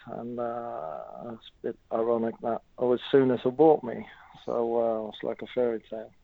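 A middle-aged man from Norway with a Norwegian accent, a former footballer answering interview questions in a halting way with many fillers. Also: medium pitch, vocal fry, no room sound. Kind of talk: casual interview. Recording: tinny phone line.

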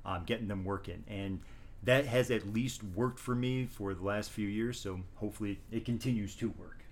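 A man with a somewhat low voice talks about his teaching in an interview. He is measured and reflective, and he often stops to restart a thought. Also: a little hiss or hum. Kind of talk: interview conversation.

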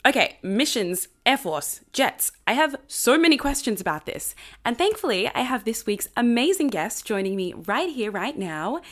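A woman's voice: fairly high pitch, big pitch swings, moderate pace, a bit bright. A woman hosting an interview, bubbly and gushing with exclamations. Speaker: podcast host. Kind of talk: casual interview chat.

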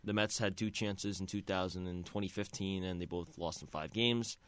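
Young male co-host, low pitch, bright and crisp, lays out points in order. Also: steady tone, normal speed, dry recording. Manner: organized, makes points in order. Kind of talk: casual sports talk.

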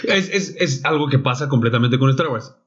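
Young man, Mexican Spanish accent, casual blunt podcast banter, dull recording. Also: medium pitch. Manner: blunt, swears freely, quick asides. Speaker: podcast co-host. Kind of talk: casual chat.

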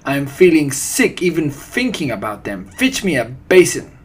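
A man's voice: mid-range voice, measured pace, breathy edge. A man voicing a tyrannical, ranting female head witch in an audiobook, imperious and thundering, with broken foreign-sounding grammar. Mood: disgusted. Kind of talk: audiobook character voice.

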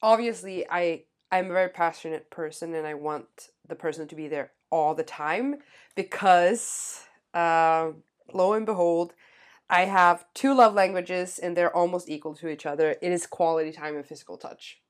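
A young woman co-hosting a podcast, talking fast and animatedly with lots of fillers. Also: lowish voice. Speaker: podcast co-host. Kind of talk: casual chat.

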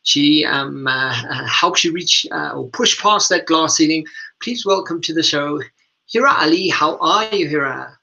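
A man hosting a radio interview, speaking in a halting, hesitant way over a dull, lo-fi recording. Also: a little high, gravelly, sharp tone. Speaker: radio show host and leadership coach.

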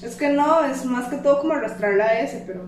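A woman's voice: high voice, brisk, a little mellow, slight background noise. A young woman, co-hosting a podcast with playful, slangy banter. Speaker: podcast co-host. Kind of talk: casual chat. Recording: echoey room from far off.

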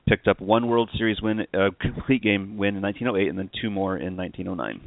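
A man's voice: low voice, fast, vocal fry, no room sound, narrow, tinny audio. A man hosting a podcast in a casual, chatty sports style. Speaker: podcast host.

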